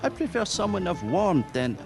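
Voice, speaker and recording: An actor playing a suave, courtly host and romantic charmer in a radio mystery drama. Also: male voice, high-pitched, very animated, moderate pace, creaky, full character voice. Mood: suave, aloof. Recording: music behind the dialogue.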